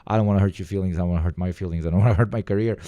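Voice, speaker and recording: A man with an Eastern European accent, reacting to a video in casual, profane, rambling commentary with sudden animated bursts. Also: deep voice, rapid-fire, dry recording, highs cut off.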